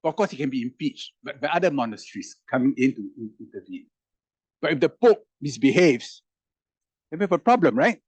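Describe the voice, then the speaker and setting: A man's voice: mid-range voice, lively intonation, fairly quick, a little mellow, slightly rough, dry recording, rough recording. A man speaking as a roundtable panelist, musing through rhetorical questions with emphatic rises in his voice. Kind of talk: animated reflective public talk.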